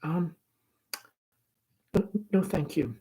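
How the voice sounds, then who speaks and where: A man reads the part of a nervous young woman in a stage play. The voice is thin, breathy and faint. Words come hesitantly, with stammered repeats, as if shyness leaves little air. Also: a little high, expressive, extremely slow, warm tone, pitched above the performer's own voice, exaggerated voice. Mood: shy, hesitant. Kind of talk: play read-aloud.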